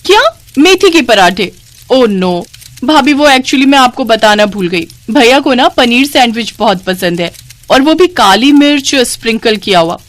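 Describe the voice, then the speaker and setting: A woman voicing a spiteful, arrogant young sister-in-law in a family story, with a shrill, dramatic, accusing manner. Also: animated, unhurried. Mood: sly, fake surprise.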